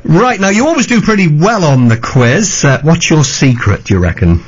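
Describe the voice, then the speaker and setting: A man hosts a radio phone-in quiz, chatty and laughing easily. His voice sits a touch high and sounds slightly husky. The broadcast sound is dull and lo-fi. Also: expressive. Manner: chatty, laughs often, reads questions briskly.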